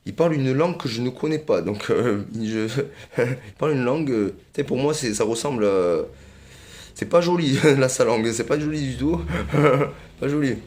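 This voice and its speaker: A man talks on in a loose, wandering monologue, circling back to the same phrases again and again. He fills his pauses with hesitations and breaks into small chuckles as he goes. His voice sits at an ordinary middle pitch. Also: expressive, darkish tone. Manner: rambling and repetitive, many fillers and chuckles. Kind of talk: rambling monologue.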